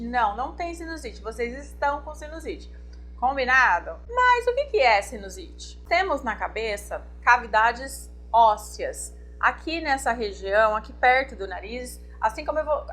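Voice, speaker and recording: High-voiced woman doctor explaining health. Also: a bit bright. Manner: didactic, addresses viewers directly with check-ins. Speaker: infectious disease doctor. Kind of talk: explanatory health talk to camera.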